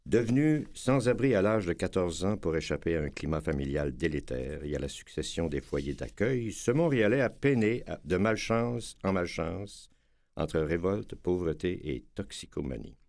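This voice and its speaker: A man hosting a radio magazine show and reading articles aloud in a measured, deliberate way. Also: sits low, very animated, gravelly, darkish tone.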